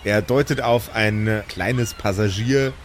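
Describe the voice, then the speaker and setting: A man's voice: fairly low pitch, measured pace, a little hiss or hum. A man improvising as an easygoing boat skipper in a tabletop game, casual and slangy. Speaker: player voicing a small-boat skipper. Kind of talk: improvised roleplay and scene description.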